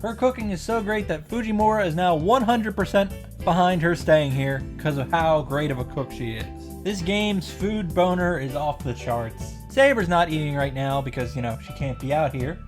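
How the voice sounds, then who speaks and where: A woman giving a casual, expressive recap of a video game, reading out text and reacting with exasperation and swearing. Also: low-pitched, normal speed, over music.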